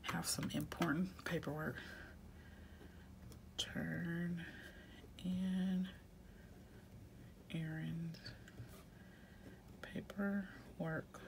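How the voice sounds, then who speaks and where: Congested woman softly chatting while planning. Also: on the low side, level tone, vocal fry, noisy background. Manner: soft, often half-whispered, unhurried. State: congested with a head cold. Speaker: planner vlogger. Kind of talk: casual chat while planning.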